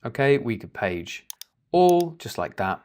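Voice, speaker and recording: A man working as a software trainer, explaining each step informally in a conversational screen-share tutorial. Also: mid-range voice, big pitch swings, measured pace.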